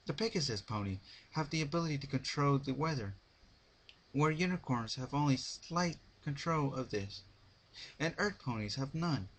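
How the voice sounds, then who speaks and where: A man's voice: mid-range voice, lively intonation, normal speed, fairly bright tone, slight background noise, close to an everyday voice, low-quality recording. A man voicing a dignified, caring female pony ruler's formal letter in a dramatic story reading. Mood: grave, concerned.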